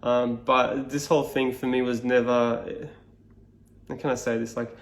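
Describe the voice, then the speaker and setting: A man's voice: mid-range voice, little pitch movement, unhurried, reverberant. A man whose native tongue is Serbian, a speaking coach explaining his course to camera in a reflective, loose way, with many fillers and restarts.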